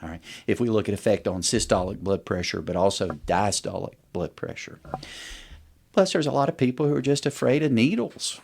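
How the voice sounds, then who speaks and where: A middle-aged man with a fairly low voice talks as a doctor being interviewed. He explains medical points in a conversational way, often walking through examples in plain first person. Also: animated, fairly quick, creaky, a little mellow. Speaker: allergist, podcast guest.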